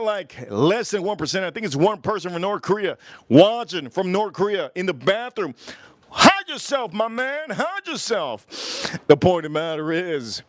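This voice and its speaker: Guy livestreaming from florida, kinda sick, fired up shouting out viewers, dry close mic. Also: fairly high pitch, dry recording. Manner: animated, shouting out viewers' locations. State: getting sick. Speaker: livestream host. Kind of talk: casual livestream chat.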